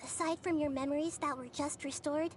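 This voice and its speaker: A woman voicing a gentle, thoughtful young girl goddess in a video game. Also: high-pitched, fairly even intonation, brisk, a little hiss or hum, close to an everyday voice. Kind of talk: game dialogue.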